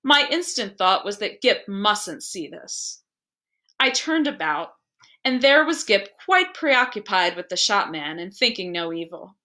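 A woman reading a literary story aloud with expressive, dramatic and deliberate phrasing. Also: mid-range voice.

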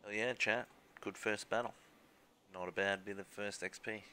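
A man's voice: fairly low pitch, steady tone, moderate pace, pushed and bright, a little hiss or hum. A man chatting casually as he streams a video game. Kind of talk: casual gaming chat. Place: indoors, voice over gameplay. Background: music.

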